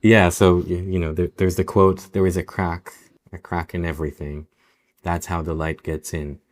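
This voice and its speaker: A young man from Israel, a native Hebrew speaker, talking slowly and thoughtfully in a podcast interview. His voice sits very low. He often restarts his phrases as he thinks them through. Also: little pitch movement. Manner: reflective, many restarts and "um"s. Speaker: novelist guest.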